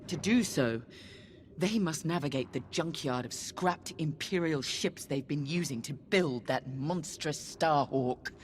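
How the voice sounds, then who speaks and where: An actress playing a cold, vengeful female military commander in a video game, speaking in a measured, menacing, formal way. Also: sits low, slight background noise. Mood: stern, controlled. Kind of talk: dramatic video game mission briefing. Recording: loud music behind.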